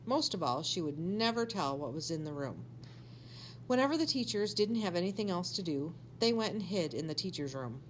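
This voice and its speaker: Low-voiced woman reading a children's book aloud. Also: a little hiss or hum, rough recording.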